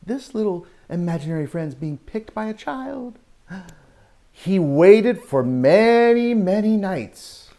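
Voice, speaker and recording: A man reads a storybook to young children like a teacher. His pitch swings widely, and he takes the story at a slow pace. He is expressive, with exclamations and questions put to his young listeners. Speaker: teacher reading a picture book to young children. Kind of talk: animated read-aloud storytelling with asides to children.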